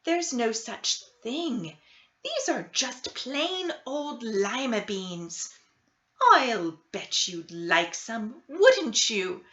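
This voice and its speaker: A sweet old storybook lady, played by a woman reading aloud, speaks in a high, bright voice. She is gentle and kindly, and takes her time with every phrase. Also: very animated, extremely slow, muffled recording, exaggerated voice.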